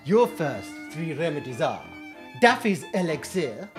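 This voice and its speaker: A woman's voice: low-pitched, sing-song, unhurried, over music. A British woman playing a jolly, pompous Georgian lord in a theatrical comic radio double act. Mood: teasing, theatrical.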